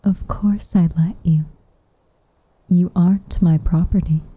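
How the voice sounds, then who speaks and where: A woman acts out a gentle witch with a wistful, guarded tenderness for an ASMR roleplay. Her voice is lowish, very mellow and breathy, often slipping into a whisper. It is recorded close and dry, with insects chirping behind her. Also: fairly even intonation, dry recording, muffled recording. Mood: gently teasing. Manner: soft, breathy, tender, measured delivery. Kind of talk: soft roleplay ASMR, intimate and half-whispered. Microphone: close microphone.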